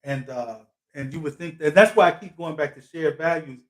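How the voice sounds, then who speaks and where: A man's voice: fairly high pitch, moderate pace. A man hosting a live talk show, interviewing a guest in a thoughtful way with frequent fillers. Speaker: host.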